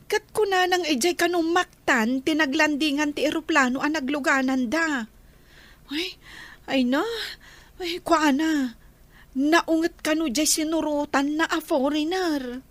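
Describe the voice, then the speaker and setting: Very high-pitched concerned friend played by a woman. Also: a little slow, dry recording. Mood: hesitant, gossipy. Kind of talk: Ilocano radio drama acting.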